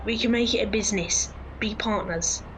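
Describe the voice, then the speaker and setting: A woman's voice: mid-range voice, moderate pace, a bit bright, slight background noise, lightly acted, rough recording. A woman playing a cheeky, scheming young male pickpocket in an audio drama, brash and streetwise.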